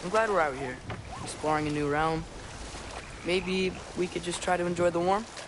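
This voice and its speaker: A youthful, slightly high-pitched teenage boy played by a man in a video game. He is earnest and curious, eagerly talking through what he notices. Loud water noise surrounds his voice. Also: fairly even intonation, normal speed, natural voice. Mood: defensive, hopeful. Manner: youthful, eager, thinking aloud.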